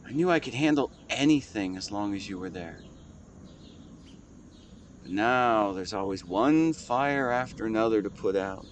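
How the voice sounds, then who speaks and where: Man acting a low-voiced, weary, devoted husband. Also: a little hiss or hum. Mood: weary, fond. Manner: halting, earnest, intimate delivery. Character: weary, devoted husband and shop owner, tender and grieving. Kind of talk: scripted dramatic monologue addressed to a listener. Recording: clear background ambience with nature sounds.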